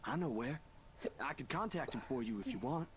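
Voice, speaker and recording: An actor voicing an easygoing young man who believes in ghosts, in a visual novel game, speaking in a gentle, laid-back and accommodating way. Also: mid-range voice, animated, fairly quick, a little hiss or hum, natural voice.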